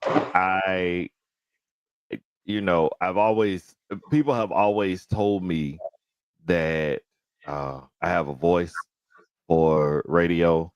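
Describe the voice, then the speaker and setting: A man hosting a podcast, speaking with careful, articulate diction and playful animated asides. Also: sits low, very slow, long pauses, clear, resonant tone, no room sound, muffled recording. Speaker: podcast host. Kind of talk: casual interview chat.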